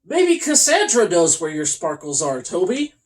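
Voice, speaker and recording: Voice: medium pitch, huge pitch swings, moderate pace, far from the mic. A storyteller voicing a friendly female marshmallow who works at a firehouse, chatty, apologetic and obliging. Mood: apologetic, helpful.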